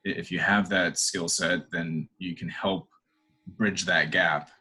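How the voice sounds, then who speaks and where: Deep husky man, halting answers. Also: fairly even intonation, sharp tone. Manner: hesitant, many fillers and restarts. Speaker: interview guest, corporate professional. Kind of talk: casual interview answers.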